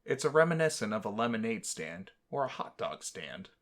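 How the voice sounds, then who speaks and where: A man's voice: fairly low pitch, big pitch swings, normal speed, a bit bright. A man reading a visual novel aloud as a gaming host, in a storytelling manner.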